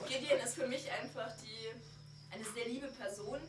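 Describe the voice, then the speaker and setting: Girl playing tabletop rpg w friends, casual banter, kinda high voice, far from the mic in a roomy space. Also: normal speed, background noise. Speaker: tabletop role-playing game player. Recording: echoey distant mic, people chattering.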